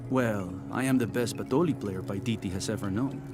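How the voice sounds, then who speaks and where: Guy acting a proud outcast rebel gambler in a game, lowish voice, teasing wise talk, music playing behind. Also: lightly acted. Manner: measured, philosophical, teasing. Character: proud cast-out man, sly dice player turned rebel informant. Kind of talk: video game cutscene acting. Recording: music under the voice.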